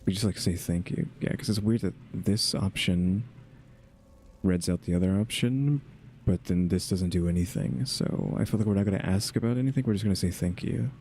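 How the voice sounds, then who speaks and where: A man's voice: deep voice, normal speed, darkish tone, slight background noise. A man giving casual, chill commentary on a video game, rambling and musing aloud. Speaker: gaming video host.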